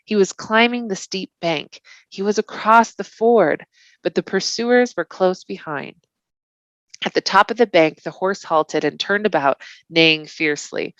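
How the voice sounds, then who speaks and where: A woman reading a novel aloud as scripted bedtime narration, with deliberate, expressive, dramatic phrasing. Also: medium pitch, no room sound, dull audio. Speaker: volunteer storybook reader.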